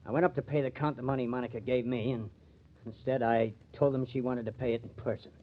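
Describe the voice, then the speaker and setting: An actor playing a scheming, cornered killer, agitated and self-justifying, in a 1950s radio mystery. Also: male voice, mid-range voice, fairly even intonation, fast, slight background noise, close to an everyday voice. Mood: bitter, confessing. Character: scheming, cornered male killer, bitter and defensive. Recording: dull old radio recording.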